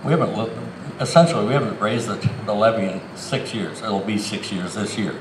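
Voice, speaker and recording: Low-voiced man on echoey PA. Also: fairly quick. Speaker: city council candidate and incumbent. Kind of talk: answering at a candidate forum. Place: outdoors in a town park. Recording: echoey outdoor PA with clear background noise.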